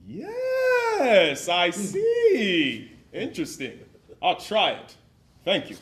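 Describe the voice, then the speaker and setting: A man's voice: high voice, fairly bright tone, exaggerated voice. An actor playing a passionate stage actor who coaxes his scene partner and declaims verse with swelling volume. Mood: declaiming. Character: passionate male stage actor rehearsing a romantic lead. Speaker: actor in a dramatized rehearsal. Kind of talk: period drama acting, reciting verse.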